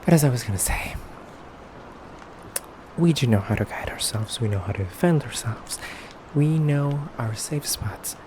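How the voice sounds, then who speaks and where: A man playing a courteous yet menacing effeminate vampire captor in an ASMR roleplay, soft-spoken and deliberate with frequent pauses. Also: mid-range voice, expressive, slight background noise, natural voice. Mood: measured, secretive. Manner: soft-spoken, slow, deliberate, pausing often.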